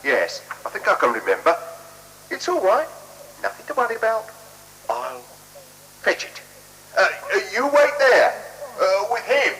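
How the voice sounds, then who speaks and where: A bumbling, defensive hotel keeper in a vintage radio play. He mutters and stumbles in a sharp, somewhat high voice that leaps all over in pitch. The recording is an old radio tape with slight noise. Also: huge pitch swings, measured pace, pauses often, heavily acted, low-quality recording. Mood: reassuring. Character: flustered, stubborn, bumbling male hotel keeper, Mister Binks. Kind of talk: 1970s radio play acting. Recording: hissy, humming 1976 radio recording.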